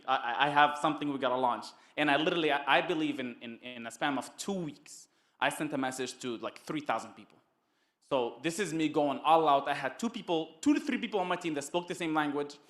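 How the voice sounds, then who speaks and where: A man giving a casual testimonial as a guest, halting and often repeating his words. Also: a little high, fairly bright tone. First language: Arabic. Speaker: summit guest, network marketer.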